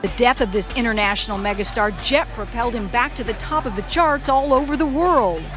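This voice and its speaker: A woman news correspondent narrating a scripted report in a crisp reporter delivery. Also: a little high, brisk, music in the background.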